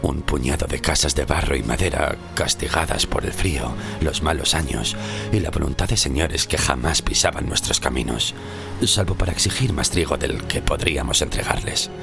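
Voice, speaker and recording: A man performs a grim, haunted sailor from centuries ago in a horror audio drama. His voice is extremely low and crackles with gravel, and he speaks in a solemn, brooding, deliberate way. Music plays clearly behind him. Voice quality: very deep, gravelly. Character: haunted poor 16th-century Castilian sailor, grim and fearful. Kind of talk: dramatic first-person horror audio fiction monologue.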